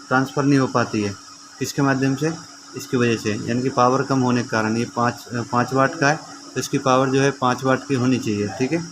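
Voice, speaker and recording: A man presents an informal repair tutorial in a mid-pitched voice. He explains things hands-on and keeps checking that viewers follow. The amateur recording has clear background noise.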